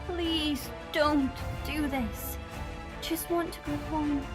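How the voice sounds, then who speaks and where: A woman's voice: high voice, fairly even intonation, takes it slowly, music in the background. A woman playing a feisty, homesick young female companion in an audio drama, pleading and defiant.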